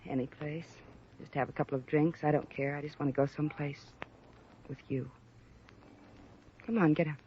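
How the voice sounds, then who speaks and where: A woman's voice: low voice, steady tone, moderate pace, pauses often, a little hiss or hum, natural voice. A woman acting a proud, cornered young former chorus girl in a 1950s radio drama, earnest and plaintive with an American accent. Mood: wistful. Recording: dull restored old radio recording.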